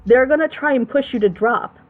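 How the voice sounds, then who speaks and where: A woman's voice: sits high, fairly quick, narrow, tinny audio. A woman commentating in a casual, opinionated chat, emphatically repeating her points. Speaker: reaction show commentator.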